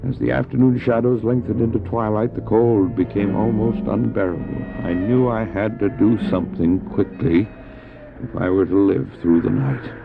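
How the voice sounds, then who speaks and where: A man's voice: on the low side, fairly even intonation, creaky, narrow, tinny audio, music in the background. A man acting a stranded, devout doctor and dog sledder in an audio drama, earnest and theatrical, anguished but resolute, on an old cassette recording. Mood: grave narration. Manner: earnest, theatrical, halting when speaking in character. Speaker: narrator and lead actor. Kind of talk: dramatized first-person adventure narration, audio drama.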